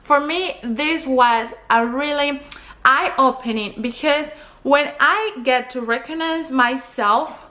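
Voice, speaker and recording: Colombian girl w/ spanish accent, high-ish voice, talking to camera, counting off travel tips. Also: slow pace, pushed and bright. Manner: earnest, advice-giving, counts off points. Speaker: travel vlogger.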